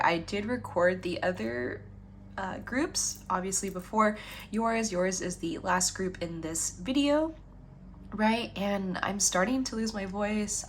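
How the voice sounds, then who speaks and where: A woman's voice: medium pitch, a little hiss or hum. Rambling woman giving an encouraging tarot reading. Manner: rambling, with frequent ums and you-knows; earnest, affirming and encouraging. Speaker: tarot reader. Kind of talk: pick-a-card tarot reading to camera.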